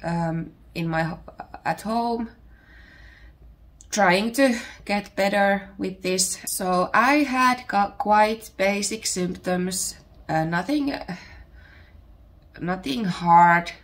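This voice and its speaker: A woman in her thirties from Finland, with a Finnish accent, chatting casually as a podcast host while her voice recovers from illness, pausing to search for words. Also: mid-range voice. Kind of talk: casual chat. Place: home.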